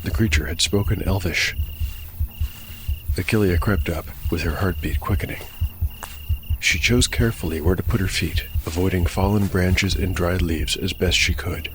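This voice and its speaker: Deep-voiced male fantasy storyteller. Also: fairly even intonation, normal speed, background noise. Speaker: host and narrator of a solo tabletop fantasy audiodrama. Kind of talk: scripted narration, dark fantasy storytelling and game commentary.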